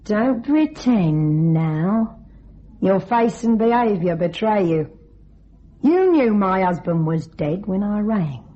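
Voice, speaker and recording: A woman's voice: medium pitch, animated. A woman playing a cold, scheming young widow in a radio thriller, mocking and coolly commanding. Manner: taunting, coolly controlled, deliberate. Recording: dull, band-limited radio drama recording.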